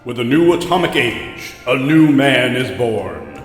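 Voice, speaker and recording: Guy doing a portentous old radio serial narrator, swooping pitch, big echo, music under. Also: sing-song, measured pace. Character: dramatic, grandiose male announcer of a superhero serial. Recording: echoey long reverb over music.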